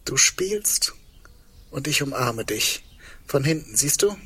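A man playing a searching, tender man facing doom in an intimate audio drama, speaking haltingly and sometimes hushed. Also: medium pitch, animated, husky, exaggerated voice. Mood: tender, resigned.

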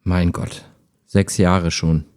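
A mellow, low-pitched male chatbot, acted by a man, wistful and skeptical. He talks like an ordinary human in an intimate radio play, understated and a little hesitant. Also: flat delivery, slow, clean tone. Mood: pensive.

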